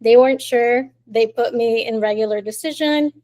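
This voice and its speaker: Female guest, late twenties, from Colombia, slightly high-pitched, warm and chatty. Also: moderate pace. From Colombia, raised in Miami. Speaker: interview guest. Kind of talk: casual storytelling in an interview.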